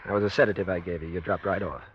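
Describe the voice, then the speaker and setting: An actor playing a devoted, reassuring doctor and husband, coaxing and soothing, in a 1940s-style radio drama. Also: male voice, low voice, fairly even intonation, racing, natural voice. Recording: muffled, faintly noisy old radio recording.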